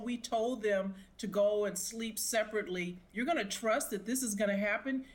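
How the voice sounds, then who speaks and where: A middle-aged woman, a retired police sergeant, giving emphatic, opinionated expert commentary in an interview. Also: mid-range voice.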